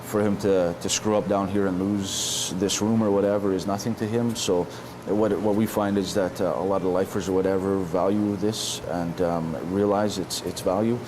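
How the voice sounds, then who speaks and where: A man with a very low voice speaks in a documentary interview. He talks haltingly, filling pauses with "um" and restarting sentences. There is clear background noise around him. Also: fairly even intonation. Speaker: inmate, chairman of a lifers group.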